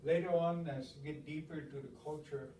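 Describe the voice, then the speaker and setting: A man's voice: fairly high pitch, steady tone, dark tone, reverberant. Stoney-speaking male elder teaching, repeats and restarts, recorded far off in a faintly noisy room. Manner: repeats words, counts aloud, halting restarts. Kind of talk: informal spoken teaching.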